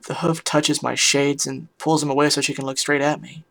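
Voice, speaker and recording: Man voicing a heartbroken pony musician, gravelly and hushed. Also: fairly high pitch, level tone. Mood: tearful, confused. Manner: hushed, halting, emotional dramatic delivery. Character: heartbroken pony musician narrating, bitter, weary, tender. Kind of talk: dramatic first-person fan fiction reading.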